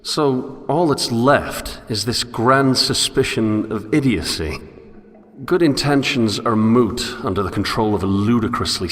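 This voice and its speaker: An actor playing a brooding, philosophical loner in a video game, musing introspectively in formal, literary phrases. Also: male voice, fairly low pitch, a bit bright. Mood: bitter, reflective. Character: brooding, philosophical male loner narrating his wanderings. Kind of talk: scripted first-person game monologue. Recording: long echoing reverb under music.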